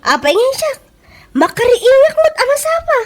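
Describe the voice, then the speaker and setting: A woman's voice: extremely high pitch, very animated, moderate pace. A woman with an Ilocano Filipino accent playing a chatty, nagging workmate in a comedy radio drama. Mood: chiding concern. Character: chatty, nagging female workmate giving advice. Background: soft background music.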